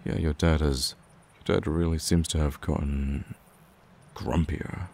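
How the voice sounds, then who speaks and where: A man playing a betrayed, protective young male friend in an emotional audio roleplay, speaking haltingly with sighs and stammers. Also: very deep voice, fairly even intonation, vocal fry, darkish tone, heavily acted. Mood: subdued, probing. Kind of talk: emotional ASMR roleplay acting.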